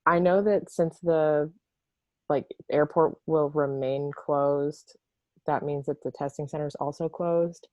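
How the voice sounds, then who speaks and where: A woman's voice: sits low, steady tone, unhurried, a little mellow, no room sound. A woman casually asking questions as a participant in an online meeting over a video call.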